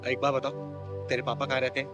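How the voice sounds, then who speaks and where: A man's voice: medium pitch, completely flat delivery, fast, music in the background, close to an everyday voice. A man playing a caring, take-charge kinnar elder sister in a short drama film, asking direct questions and reassuring. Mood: reassuring, probing.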